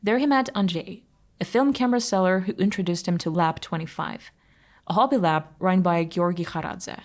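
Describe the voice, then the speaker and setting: Low-voiced woman. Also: moderate pace. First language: Armenian. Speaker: narrator reading a personal essay. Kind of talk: scripted audio article narration.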